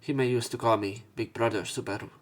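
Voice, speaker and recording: A man doing a let's play, reading visual novel narration and dialogue aloud, somewhat haltingly, correcting himself as he goes. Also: mid-range voice, steady tone. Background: game music.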